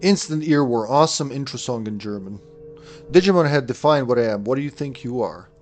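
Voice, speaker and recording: A man's voice: mid-range voice, animated, a little fast, a little mellow, faint music behind. A man in his late thirties giving live commentary on a game, chatting, thinking aloud and answering chat. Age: late 30s. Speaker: streamer.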